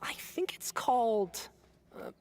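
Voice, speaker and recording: A woman's voice: a little high, sing-song, slow pace, darkish tone, a little hiss or hum. A woman playing a friendly, curious young character making polite conversation in a video game.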